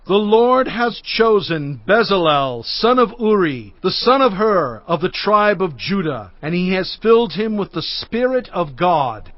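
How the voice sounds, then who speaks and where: Moses: solemn, proclaiming leader, high voice, dry dull studio. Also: male voice, big pitch swings, measured pace, hardly any pauses, dry recording. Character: Moses, aged male leader addressing people. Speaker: voice actor. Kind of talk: dramatized scripture reading.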